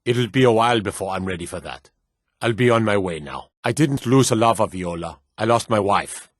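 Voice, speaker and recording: A world-weary town guard from a fantasy video game. His voice sits low, with a slightly rough edge and a dry, close sound. He is stern and sparing with words, gruff in how he addresses people. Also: animated, brisk, no echo. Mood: formal, guarded. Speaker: game character voice.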